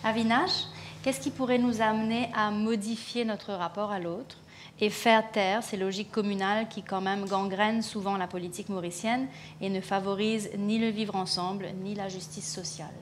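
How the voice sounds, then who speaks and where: A woman's voice: medium pitch, fairly even intonation, normal speed, a little hiss or hum. A woman moderating a panel discussion, asking questions in a friendly, inquisitive way. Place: indoor public event.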